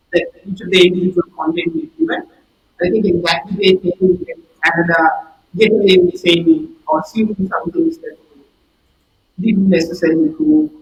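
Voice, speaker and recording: A male student debater from Sri Lanka giving measured, analytical answers in an interview. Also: high voice, lo-fi sound, slight background noise.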